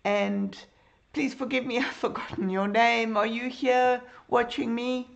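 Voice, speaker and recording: A middle-aged woman with a hoarse, croaky voice, teaching a live drawing lesson from her home room on a webcam mic. She chats with her viewers, greets them by name and fills her pauses with ums. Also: on the high side, steady tone, dull audio. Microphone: computer webcam.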